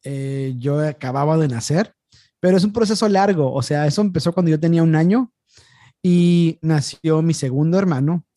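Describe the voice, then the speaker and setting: Relaxed man in his thirties. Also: on the high side, moderate pace, no room sound, studio quality. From Mexico. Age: 30s. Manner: relaxed, thinking aloud with frequent fillers. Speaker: podcast guest. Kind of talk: casual conversation.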